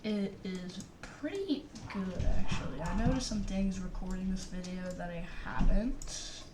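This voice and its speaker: Woman chatting slow thru a gameplay review, kinda far from the mic, echoey room. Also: medium pitch, noisy background. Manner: narrates on-screen action while playing, loose and rambling. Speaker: YouTuber reviewing a game mod. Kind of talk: casual gameplay commentary. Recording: roomy, distant mic under loud game music.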